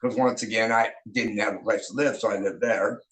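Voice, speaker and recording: A man's voice: lowish voice, steady tone, fairly quick, a bit bright. A man, a rock band's singer and guitarist, telling stories from his past in a loose, rambling way, with many fillers and restarts. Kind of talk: casual interview storytelling. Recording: echoey room.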